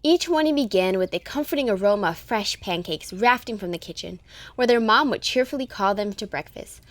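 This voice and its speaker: Woman narrating a children's story, medium pitch. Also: lively intonation, fairly quick.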